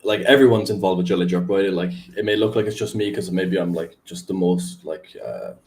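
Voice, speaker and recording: A man's voice: low-pitched, brisk, a little mellow. A young man, a podcast guest, chatting casually in a rambling way with many fillers. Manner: rambling, filler-heavy, laid-back. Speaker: guest, crypto community manager.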